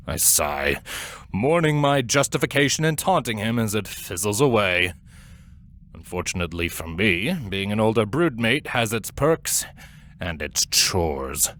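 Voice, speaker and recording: A man's voice: on the low side, big pitch swings, a little slow, a bit bright. Man voicing a smug, sardonic older-brother insect creature. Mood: dry, sardonic. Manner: sardonic, dry, taunting. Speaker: first-person narrator and character. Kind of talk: dramatic first-person story narration.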